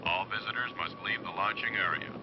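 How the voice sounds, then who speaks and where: An actor playing an impersonal launch control announcer with a clipped, official manner in a dubbed film. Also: male voice, lowish voice, fairly quick, close to an everyday voice. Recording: dull, very noisy soundtrack, loud engine-like hum.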